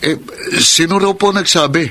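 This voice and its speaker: A man's voice: high-pitched, moderate pace, sharp tone, a little hiss or hum. An actor with a Filipino accent playing a placating, defensive young man in a radio drama, hesitant and pleading. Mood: puzzled, respectful. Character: defensive young boyfriend and respectful son, placating. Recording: music bed under the voice.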